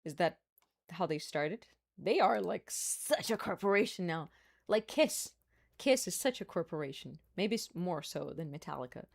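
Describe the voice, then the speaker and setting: Chatty Brazilian woman with a lowish voice. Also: lively intonation, normal speed, creaky. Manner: chatty, laughs often, playful asides. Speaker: streamer. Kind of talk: casual live-stream chat.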